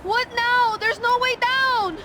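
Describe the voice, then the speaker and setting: A woman's voice: extremely high pitch, fairly even intonation, takes it slowly, very noisy. An actress playing a brave but anxious young woman on the run in a video game, speaking earnestly. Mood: alarmed. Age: young adult.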